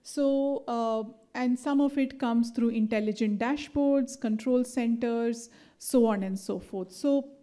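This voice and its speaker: A woman giving a live conference presentation in a mellow, slightly high voice. She talks loosely, full of fillers and little "right?" checks. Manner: chatty, many fillers and tag questions.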